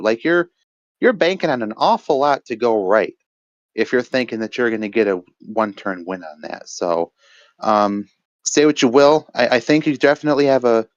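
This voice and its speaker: Man, mid-pitched, casual match analysis, reflective pauses, dry muffled lo-fi audio. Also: dry recording. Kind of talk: casual esports-style card game commentary.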